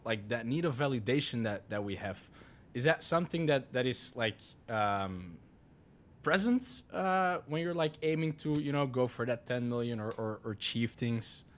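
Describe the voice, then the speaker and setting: A man whose first language is Dutch, hosting a casual podcast interview in English, often restarting and searching for words. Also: mid-range voice. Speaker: podcast host.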